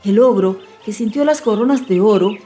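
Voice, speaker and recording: A woman storyteller giving an expressive, measured narration of a dramatized fairy-tale audiobook. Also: mid-range voice. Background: music.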